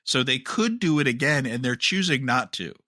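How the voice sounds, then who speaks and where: Man, podcast co-host, bright forceful voice, dry close sound, casual halting fan chat. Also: medium pitch, very animated, no echo.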